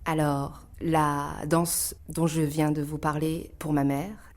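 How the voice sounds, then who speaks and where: A deep-voiced woman from northern Iran in an arts radio interview, feeling her way through each answer with pauses. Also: level tone, a little mellow. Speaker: interview guest, dancer and choreographer.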